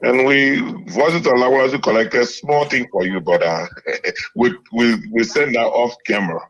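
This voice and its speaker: A man's voice: mid-range voice, moderate pace, fairly bright tone, lo-fi sound. A man calling in to a radio show to give a birthday greeting, rambling and laughing as he talks. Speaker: caller, host of another show.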